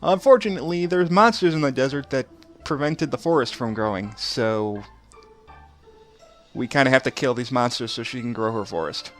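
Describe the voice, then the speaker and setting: A man's voice: mid-range voice, normal speed, a bit bright. Chatty thirty-year-old man over game music. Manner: chuckles often between remarks. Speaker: gameplay video host. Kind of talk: casual let's play commentary.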